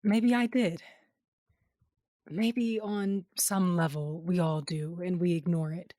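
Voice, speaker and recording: An actress playing a pensive, troubled wife on a fantasy quest in an audio drama, speaking earnestly. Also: female voice, fairly low pitch, steady tone, takes it slowly, mellow, no room sound, studio quality. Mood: regretful.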